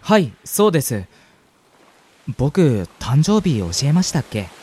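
A man's voice: medium pitch, very animated, slow pace, warm tone, breathy edge, heavily acted. Man playing a polite, earnest young college guy. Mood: sheepish. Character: polite, shy, smitten young male college student. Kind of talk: radio drama dialogue and inner narration.

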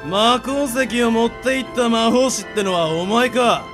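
A man playing a cruel bandit sorcerer in an amateur radio drama, drawling threats in a creaky voice. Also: very high voice, measured pace. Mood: cool menace. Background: music.

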